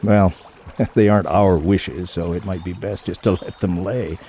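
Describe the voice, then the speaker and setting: A man's voice: sits low, steady tone, unhurried, warm tone. A man playing an uneasy, jumpy deer hunter in a radio play, with a defensive way of talking. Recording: noisy sound-effect bed behind voice.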